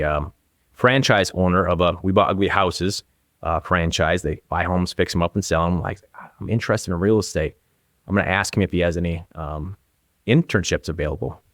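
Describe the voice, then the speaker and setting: Low-pitched Midwestern man, dry close sound. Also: no room sound. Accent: Upper Midwestern American. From northern Minnesota, USA. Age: 40s. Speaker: guest, real estate agent. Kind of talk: casual interview talk.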